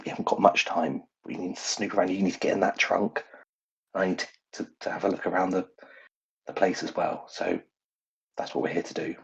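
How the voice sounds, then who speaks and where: A man's voice: low-pitched, creaky, husky, heavily acted. A man voicing an evasive, wheedling knight in training who stammers and talks over-formally in a tabletop game. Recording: dull, slightly lo-fi recording.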